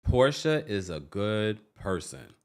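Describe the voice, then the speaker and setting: A man's voice: on the low side, big pitch swings, takes it slowly, smooth. A man hosting a reality TV recap livestream, talking casually in a playful, teasing, exclamatory way and repeating phrases for emphasis. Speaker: host of a reality TV recap show. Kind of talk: casual gossipy livestream commentary.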